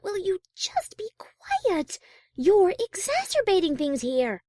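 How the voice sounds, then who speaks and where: A woman acting a haughty, easily exasperated high-class pony in a fan audio drama, prim and wordy. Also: huge pitch swings, slow, darkish tone, no echo, studio quality, full character voice. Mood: irritated hissing.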